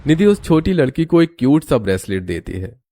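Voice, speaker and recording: Guy narrating a cartoon story, mellow soft-edged voice, middle pitch. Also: sing-song, brisk.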